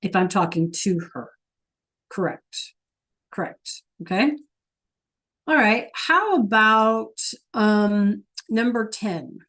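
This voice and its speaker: A woman's voice: medium pitch, slow, long pauses, fairly bright tone. A woman teaching an online Spanish class, patient and checking in with her students often.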